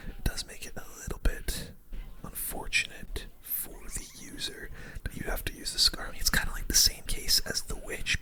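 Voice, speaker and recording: An ASMR gaming host giving casual play-by-play commentary in a hushed, breathy, unvoiced whisper with gamer slang. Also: extremely high pitch. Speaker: gaming video host playing a card battle game.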